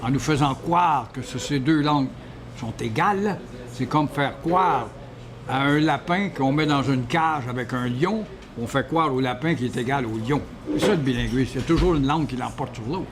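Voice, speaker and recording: A man's voice: medium pitch, normal speed, a crowd in the background. A man speaking passionately and rhetorically in a documentary interview.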